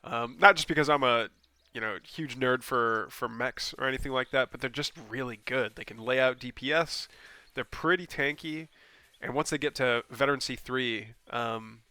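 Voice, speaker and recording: A man casts a strategy game replay alone at his desk mic, his voice bright but stuffy and scratchy from a cold. He rambles casually with plenty of ums and self-corrections, then jumps up in pitch when a play surprises him. His pitch sits in a middle range for a man. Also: moderate pace, few pauses, smooth, dry recording. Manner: bursts of excitement at plays. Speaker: game caster. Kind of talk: solo replay commentary.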